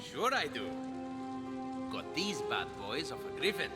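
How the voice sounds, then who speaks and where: A man's voice: mid-range voice, sing-song, a little slow, over loud music. A man acting a cocky, charming young hero, a big brother with a self-assured, breezy manner, in a video game. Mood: confident, persuasive.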